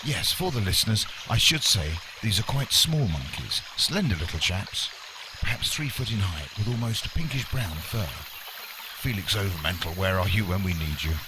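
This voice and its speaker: A man's voice: low voice, expressive, normal speed, background noise. A man playing a wordy, unflappable, pompous nature presenter in a mock radio documentary, speaking with formal politeness. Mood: measured, genteel.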